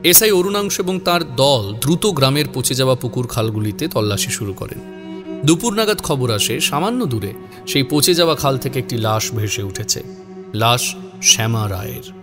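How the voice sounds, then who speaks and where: Male narrator, mid-range pitch, dramatic horror storytelling over a loud music bed. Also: animated, moderate pace.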